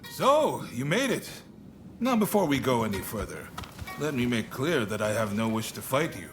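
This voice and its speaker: A man's voice: medium pitch, very animated, normal speed, a bit bright. A man playing a shrewd statesman and reformer in a video game cutscene, speaking formally and persuasively in a declamatory way. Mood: commanding, courteous. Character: shrewd, theatrical male statesman and reformer recruiting helpers. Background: music.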